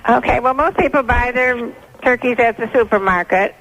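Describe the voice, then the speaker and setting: A woman's voice: a little high, moderate pace, fairly bright tone, highs cut off. A woman working a helpline on the phone, answering in a matter-of-fact, curt way. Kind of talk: customer service phone call. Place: on a phone line.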